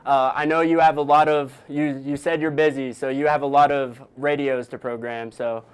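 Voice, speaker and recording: Clear-voiced male news host. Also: medium pitch, flat delivery, moderate pace. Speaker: digital news host, interviewer. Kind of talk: conversational live news interview.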